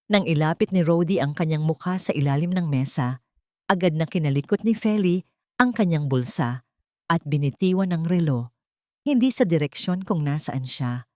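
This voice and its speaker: A woman's voice: sits low, darkish tone, no echo, studio quality. A woman reading scripted, dramatic story narration as the narrator.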